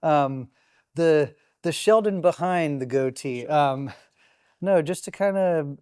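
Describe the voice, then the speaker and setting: Smooth-voiced podcast host, rambling. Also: male voice, fairly high pitch, takes it slowly, a little mellow, no room sound, clean studio sound. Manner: rambling, many fillers, laughs easily. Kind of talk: church podcast interview.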